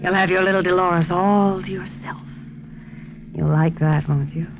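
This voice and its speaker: A woman's voice: lowish voice, fairly even intonation, normal speed, natural voice. An actress playing a ruthless, taunting female crook in a 1940s radio crime drama. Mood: teasing, seductive. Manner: taunting, domineering, coquettish. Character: scornful, seductive, ruthless female crook, a murderous femme fatale. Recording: noisy, dull old 1940s radio recording.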